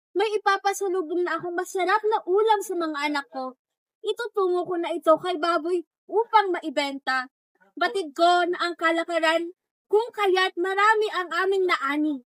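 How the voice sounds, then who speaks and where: A woman's voice: very high voice, fairly bright tone, dry recording. A woman playing a long-suffering farm animal parent, plaintive and earnest, in a reader's theatre monologue. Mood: hopeful.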